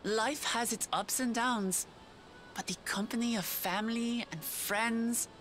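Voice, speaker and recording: An actress playing a gentle, reflective, peace-loving woman in a video game, tender and musing. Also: mid-range voice, lightly acted. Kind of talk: video game cutscene narration. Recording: prominent music behind the voice.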